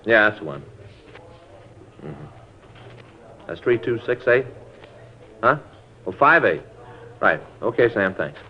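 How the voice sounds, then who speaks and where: A man's voice: fairly low pitch, very animated, slow, pauses often. A man acting an easygoing police detective partner in a 1950s radio drama, talking in a plain, informal, businesslike way. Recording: noisy, dull vintage radio recording.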